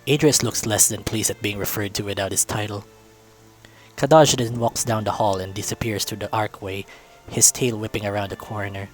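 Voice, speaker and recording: A man with a fairly low voice reads a visual novel aloud. He delivers the prose as storytelling narration, scene by scene. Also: steady tone, normal speed, soft background music. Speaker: visual novel narrator, let's-play reader.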